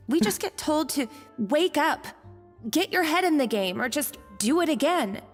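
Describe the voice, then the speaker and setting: A woman's voice: high-pitched, sing-song, a little slow, big-room sound, soft background music. A woman voicing a nervous, apologetic young female cook who stammers near tears in a video game. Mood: earnest.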